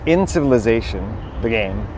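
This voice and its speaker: A Danish-born man with an American accent tells stories eagerly, rambling and full of fillers. His voice sits at a middling pitch for a man. He was recorded outdoors at a busy pier, with traffic clearly audible behind him. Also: animated, normal speed, a little mellow, poor audio. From Denmark. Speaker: podcast guest. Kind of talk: casual conversation. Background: city traffic.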